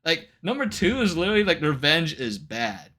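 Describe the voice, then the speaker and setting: A man chatting casually on a livestream, ranting to viewers in an incredulous, animated way with casual swearing. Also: a little high, moderate pace. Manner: laughs often between remarks. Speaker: live streamer.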